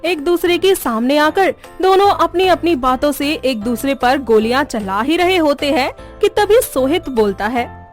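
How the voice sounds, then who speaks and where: A woman reading scripted narration for an animated moral story. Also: high-pitched, measured pace, faint music behind.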